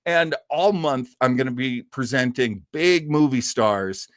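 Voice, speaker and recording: A man hosting an old-time radio show livestream, chatting casually and enthusiastically in a rambling, halting way full of tangents. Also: medium pitch, no echo, studio quality.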